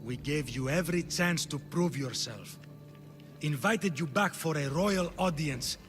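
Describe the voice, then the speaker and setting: Scheming Spartan royal for a game , male actor: haughty, higher male register, dry sarcasm, music behind. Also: unhurried, lightly acted. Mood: smug. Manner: haughty, sardonic. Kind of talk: dramatic video game cutscene acting.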